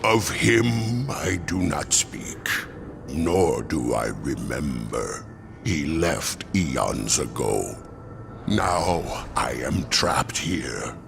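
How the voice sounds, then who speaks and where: Huge old rock monster for a game, super deep, drawn out n formal, music behind. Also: male voice, animated, full character voice. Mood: brooding. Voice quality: gravelly. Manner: slow, stilted formal speech. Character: huge ancient stone construct, trapped, rooted, hungry. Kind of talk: video game character acting.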